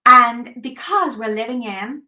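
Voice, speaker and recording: A woman's voice: a little high, lively intonation, a little slow, pushed and bright. Earnest woman coaching a live call. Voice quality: clears throat often. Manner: earnest, emphatic motivational talk with rhetorical questions. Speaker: host of a coaching webinar.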